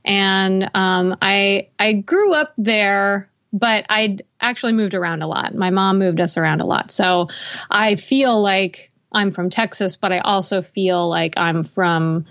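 A woman's voice: mid-range voice, measured pace, fairly bright tone, muffled recording. An American woman, a guest musician, talking casually and easily, with some fillers and laughter. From United States. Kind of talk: casual interview chat.

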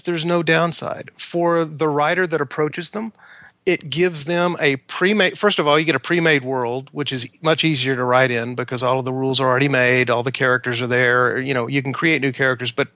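A man's voice: on the high side, bright, forceful tone, dry recording. A man talking as a guest author in an interview, conversational and emphatic, repeating words for stress.

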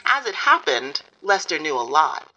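A woman's voice: low voice, sing-song, moderate pace, music in the background, low-quality recording. A woman playing a wry author in a radio documentary interview, telling the story in a dry, matter-of-fact way. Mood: wry, explanatory. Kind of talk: documentary interview in audio fiction.

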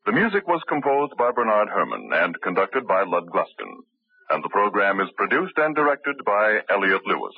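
A man announcing the closing credits of a radio crime show in a formal, even manner. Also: fairly low pitch, husky, no echo. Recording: tinny dry old radio broadcast.

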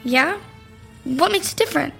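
A woman's voice: high-pitched, expressive, normal speed, creaky, fairly bright tone, soft background music, heavily acted. A female performer playing a curious, questioning ten-year-old girl in an audio drama.

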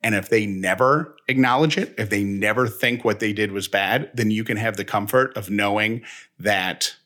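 A man with a bright, forceful edge to a slightly low voice. He talks casually and reflectively as a co-host, earnest and reassuring, often settling into long personal monologues. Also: normal speed. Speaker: talk show co-host.

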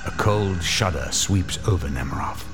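A man narrates an old-time radio drama in a measured, ominous way. His voice is low for a man. Music plays clearly under his narration. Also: expressive.

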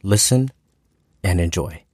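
A man's voice: deep voice, animated, unhurried, darkish tone, breathy edge, no room sound, clean studio sound. A man announcing a scripted trailer for a fiction podcast. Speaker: promo announcer.

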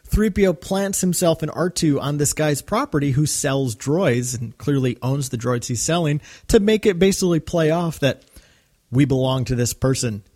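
A man's voice: fairly high pitch, moderate pace, a little mellow. A man hosting a podcast, giving a casual recap in conversational fan discussion. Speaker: podcast host.

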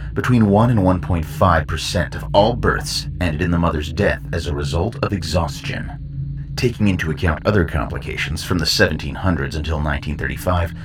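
A man narrating a scripted horror report in a formal, measured manner. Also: low voice. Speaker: narrator and host.

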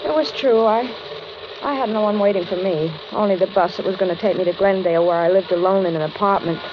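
A woman's voice: mid-range voice, quick pace, natural voice. An actress playing a polite, trusting young American carhop in a 1940s radio suspense play, hesitant and confiding as she grows uneasy. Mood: uneasy recounting. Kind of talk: 1940s radio suspense acting, narrated in first person. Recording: crackly, muffled 1940s radio recording.

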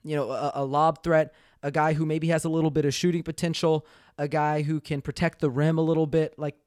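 A man's voice: on the high side, brisk, clean tone, no echo, clean studio sound. Upbeat man breaking down basketball. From Texas. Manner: animated, analytical, many fillers. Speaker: sports podcast co-host. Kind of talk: casual basketball analysis chat.

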